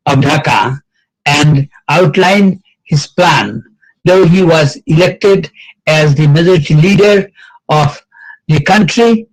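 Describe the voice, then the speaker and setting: An older man giving a reminiscing speech at a commemorative event, slow and halting with many fillers and restarts. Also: on the high side, low-quality recording. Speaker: event speaker.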